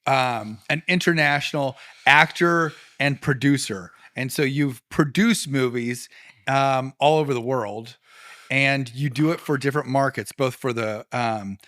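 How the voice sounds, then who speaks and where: Bright-voiced podcast host, dry sound. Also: male voice, medium pitch, measured pace, no room sound. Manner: casual, eager, prompting questions. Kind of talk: casual interview chat.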